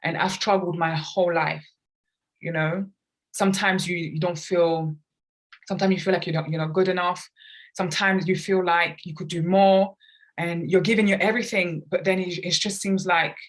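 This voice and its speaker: A woman's voice: fairly low pitch, fairly even intonation, normal speed. A woman who grew up in London, speaking earnestly and reflectively as a guest in a conversational podcast interview. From grew up in London, England. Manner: earnest, reflective, talks at length about personal experience. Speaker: guest, children's author and artist.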